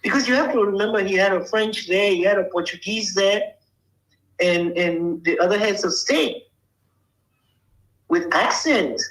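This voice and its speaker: A woman's voice: mid-range voice, a little slow, long pauses, fairly bright tone, reverberant, low-quality recording. An older woman from Liberia, speaking bluntly and emphatically as an interview guest, often checking that she is understood. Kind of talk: casual interview talk.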